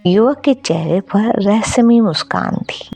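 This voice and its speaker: A woman's voice: medium pitch, animated, unhurried, creaky, a little mellow, breathy edge. A woman reading scripted narration for a story as its narrator.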